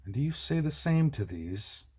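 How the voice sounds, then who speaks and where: A man voicing a proud, defiant exiled heir in an audiobook, controlled and firm, with a husky voice. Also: on the high side, big pitch swings, normal speed, darkish tone, voice pushed up. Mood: cool, resolute.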